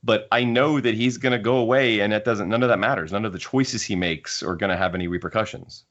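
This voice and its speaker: A man's voice: lowish voice, fast talker, fairly bright tone. A man hosting a podcast, casually discussing a book and thinking aloud as he rambles. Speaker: podcast host. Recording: dull lo-fi podcast audio.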